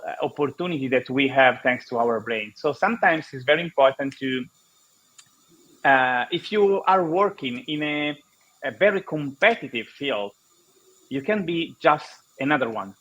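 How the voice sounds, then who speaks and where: Italian-accented male guest, slightly high voice, lo-fi call audio, halting and explanatory. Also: fairly bright tone. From Italy. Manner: halting non-native English, many restarts, explanatory. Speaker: guest speaker. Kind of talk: online conference interview.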